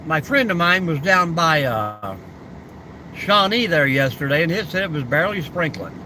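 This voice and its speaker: A man's voice: fairly high pitch, animated, normal speed, background noise. An older man hosting a casual live stream, answering viewer comments in an easygoing, folksy way and reminiscing about the old days.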